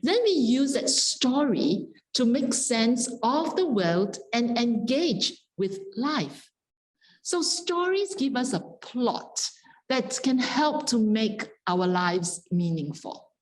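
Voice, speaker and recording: Slow, deliberate woman panelist. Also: on the high side, big pitch swings, poor audio. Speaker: panelist, Buddhist scholar. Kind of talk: panel talk, explanatory.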